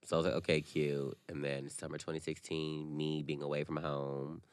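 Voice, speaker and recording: A man's voice: a bass voice, level tone, normal speed, clean tone, dry recording, studio quality. A man in his twenties co-hosting a chat show, bantering casually and gossipily in a campy, sassy, slangy way.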